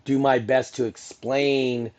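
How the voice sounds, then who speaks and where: A man's voice: medium pitch, flat delivery, slow pace, darkish tone. A middle-aged man hosting a casual podcast conversation, rambling with many restarts and asides. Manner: rambling, full of restarts and "you know". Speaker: podcast host and event announcer.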